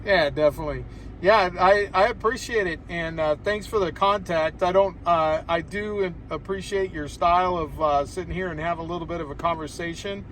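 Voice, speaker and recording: An American man chats casually on ham radio from inside a car. His voice sits a little high. The mic is some way off, with faint noise around him. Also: moderate pace, fairly bright tone, distant mic, low-quality recording. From Southern California, United States. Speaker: amateur radio operator.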